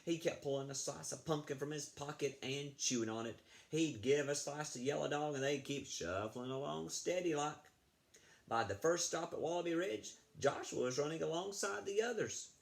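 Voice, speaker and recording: A man reading a picture book aloud to children in an animated, chatty way, breaking off to ask them questions. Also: fairly high pitch, normal speed, creaky. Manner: animated, chatty, asks the young listeners questions. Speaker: children's read-aloud storyteller, school librarian.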